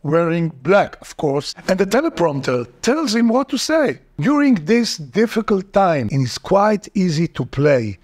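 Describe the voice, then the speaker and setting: A man speaks slowly and earnestly in English. His voice sits slightly high, and it rises and falls a lot as he asks rhetorical questions and stresses his points. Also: sing-song, a little mellow. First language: Hebrew. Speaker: political commentator. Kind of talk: scripted video essay.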